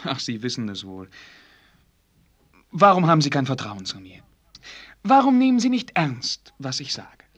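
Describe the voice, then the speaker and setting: An actor in a German radio play voicing an earnest, lovesick young prince, pleading in a formal, courtly manner. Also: male voice, mid-range voice, big pitch swings, normal speed, long pauses, fairly bright tone, husky.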